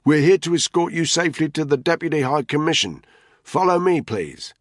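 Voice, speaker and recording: A tough, menacing male intelligence soldier, voiced by an audiobook narrator, low and husky, with threats hissed through his teeth. The reading sounds dry and close. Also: moderate pace, bright, forceful tone, dry recording, full character voice. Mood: shouting. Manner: hissing threats.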